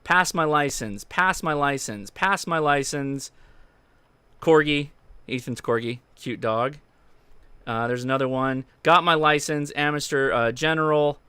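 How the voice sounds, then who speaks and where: A man hosts a relaxed, chatty live stream. His voice is forward and bright with a clean, resonant edge. The sound is dry, as if close to the microphone indoors. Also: on the high side, measured pace, clear, resonant tone, dry recording. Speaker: host of a ham radio livestream. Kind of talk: casual livestream interview chat.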